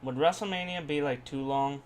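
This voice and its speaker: A man's voice: on the high side, normal speed, a crowd in the background. A man co-hosting a podcast, talking casually and teasingly with plenty of swearing. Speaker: wrestling podcast co-host. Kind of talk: casual group chat.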